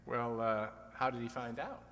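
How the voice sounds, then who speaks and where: A man's voice: mid-range voice, fairly even intonation, moderate pace, a bit bright, slight background noise, close to an everyday voice. A man playing a sly, teasing host of an old-time radio quiz show, full of dry wisecracks. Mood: wry. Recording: long hall reverb.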